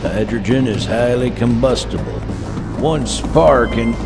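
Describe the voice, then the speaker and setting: An actor playing an earnest, loyal, excitable male sidekick in a sci-fi audio drama. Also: mid-range voice, measured pace, music in the background, lightly acted. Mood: urgent.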